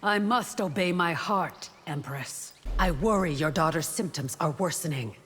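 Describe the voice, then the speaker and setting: An actress voicing a fierce, devout and loyal warrior bodyguard in a fighting game, speaking formally, proud and defiant. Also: female voice, lowish voice, unhurried, fairly bright tone. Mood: earnest, respectful. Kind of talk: video game fight intro dialogue. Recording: reverberant hall, noisy game backdrop.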